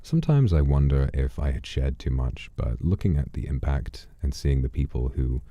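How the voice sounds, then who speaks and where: A man hosting a livestream, chatting casually and reflectively in a soft-spoken, sincere, unhurried way that often drops to a breathy murmur. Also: very deep voice, expressive, no echo, lo-fi sound. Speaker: livestream host.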